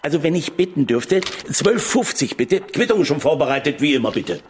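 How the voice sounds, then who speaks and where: Polite male rent collector, highish voice, lively intonation, deferential, persistent, radio drama. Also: moderate pace, slight background noise. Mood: apologetic. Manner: deferential, fussy.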